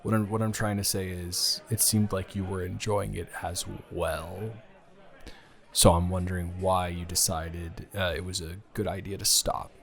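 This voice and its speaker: A man's voice: low-pitched, little pitch movement, faint music behind. A man playing a confused, hesitant friend with benefits who stammers and hedges through an improvised intimate audio roleplay. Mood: flustered, hesitant. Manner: halting, stammering, hedging.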